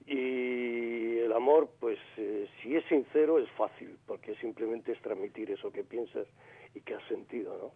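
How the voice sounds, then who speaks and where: Older guy from granada on a tinny phone line, husky, gravelly, lots of ums and ehs. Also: medium pitch, measured pace. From Granada, Spain. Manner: reflective, many fillers and restarts. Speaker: poet, phone guest. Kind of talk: radio phone interview. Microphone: telephone line. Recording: phone-line radio call.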